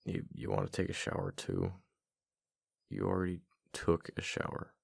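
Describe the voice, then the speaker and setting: Male actor as husband, low husky voice, halting casual muttering, playfully exasperated, affectionate, ASMR roleplay, close dry sound. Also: sing-song, no echo, full character voice. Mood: reluctant, exasperated. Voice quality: deep, gravelly, husky.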